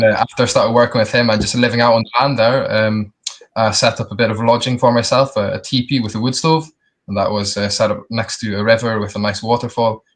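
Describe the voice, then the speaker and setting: A young man, telling his personal story casually in a halting, reflective way. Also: lowish voice. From Scotland and the Netherlands. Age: young adult. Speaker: interview guest.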